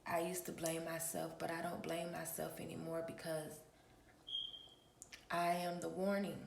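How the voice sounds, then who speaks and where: Raspy-voiced woman, slow and low. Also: level tone, reverberant, a little hiss or hum. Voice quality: gravelly. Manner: preachy, repeated throat clearing. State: raspy from early morning. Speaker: spiritual reader and channel host. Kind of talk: early-morning casual vlog address.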